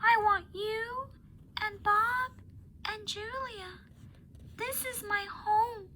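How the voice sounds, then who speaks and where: A woman voicing a young female elephant with a high, childlike, pleading voice. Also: fairly even intonation, extremely slow, pushed and bright, slight background noise, heavily acted.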